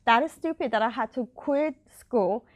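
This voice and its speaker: A woman's voice: on the high side, moderate pace. A young woman candidate answering interview questions in English, not her first language, with many fillers and restarts. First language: not Icelandic. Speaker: election candidate.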